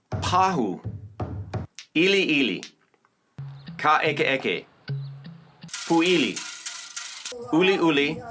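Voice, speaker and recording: A man's voice: medium pitch, sharp tone. Upbeat male percussion teacher. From Oakland, California. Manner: upbeat and enthusiastic, addresses viewers directly. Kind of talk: casual demonstration tutorial.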